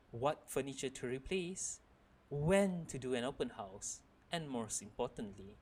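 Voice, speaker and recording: A young man with a Malaysian accent narrates a radio drama. He takes his time, with plenty of rise and fall in his delivery. There is some light noise on the recording. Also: mid-range voice. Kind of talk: slow dramatic scripted narration. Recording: noticeable background noise.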